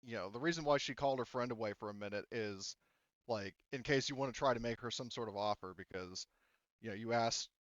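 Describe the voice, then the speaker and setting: A man's voice: mid-range voice, brisk, fairly bright tone, no room sound. A man narrating a tabletop game casually as game master, on a slightly dull microphone.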